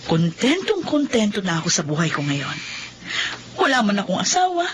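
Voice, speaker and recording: A woman's voice: medium pitch, wildly swooping pitch, normal speed, background noise. A woman playing a middle-aged single mother in a radio drama, telling her story in a heartfelt, pleading way. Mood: reflective. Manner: heartfelt, pleading, addresses listeners. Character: struggling single mother, emotional then content. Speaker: narrator of her own story. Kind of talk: Filipino radio drama acting.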